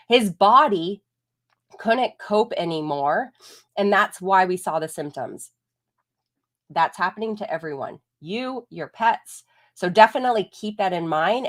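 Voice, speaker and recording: Woman, 30s, holistic vet, stuffy from a cold, casual explainer tone. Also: medium pitch. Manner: chatty, explanatory, frequent "like" and "right?". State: congested from a chest cold. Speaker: holistic veterinarian. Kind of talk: live-streamed educational talk.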